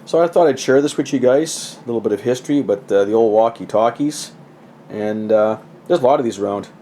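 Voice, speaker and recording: A man's voice: mid-range voice, brisk, warm tone, slight background noise. A middle-aged man giving a casual, rambling, folksy show-and-tell of his gadgets, with a self-deprecating way of talking. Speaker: hobbyist presenter.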